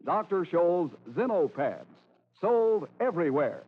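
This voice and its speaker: A man's voice: sits high, expressive, measured pace. A man announcing in a formal, polished 1940s radio style, reading scripted narration and sales copy. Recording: tinny old radio broadcast recording.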